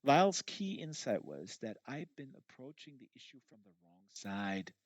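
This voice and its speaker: A man's voice: medium pitch, sing-song, moderate pace, no room sound. A man reading a first-person novel aloud live, with an emphatic, conversational delivery. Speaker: audiobook reader. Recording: dull, lo-fi audio.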